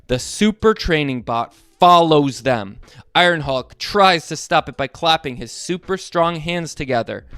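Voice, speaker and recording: A man reading a storybook aloud to children in a playful, animated way, asking them to join in. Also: fairly high pitch, clean tone, dry recording.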